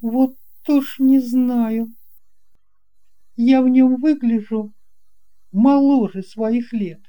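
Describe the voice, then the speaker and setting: A woman's voice: fairly high pitch, steady tone, very slow, warm tone, pitched above the performer's own voice. A woman voicing an earnest, shy young schoolboy in a storybook, with a hesitant, musing manner. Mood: doubtful. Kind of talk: audiobook character voice.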